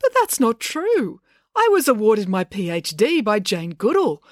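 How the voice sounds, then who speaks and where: A woman's voice: a little high, wildly swooping pitch, unhurried, no echo, studio quality, pitched above the performer's own voice. A woman voicing an awkward, flustered middle-aged dad in a children's audiobook, honest and rambling. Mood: earnest. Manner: hesitant, earnest, rambling.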